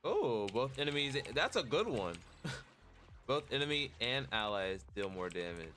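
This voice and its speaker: A man's voice: mid-range voice, big pitch swings, measured pace, pushed and bright. A man casually commenting on a game as he streams it.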